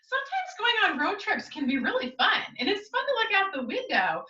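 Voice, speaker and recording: An American woman, a school teacher, hosting a storytime for young children in an expressive sing-song way, asking them questions as she goes. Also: very high voice, brisk. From United States. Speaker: school teacher reading to her young pupils. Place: indoors at home. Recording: roomy echo, distant mic, slightly dull sound.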